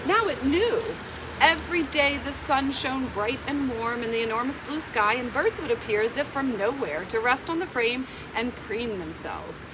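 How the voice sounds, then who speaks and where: A woman around forty reading a picture book aloud in a measured, expressive storytelling way. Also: a little high. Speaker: library storytime reader. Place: outdoors on a garden bench. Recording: outdoor background noise with insects.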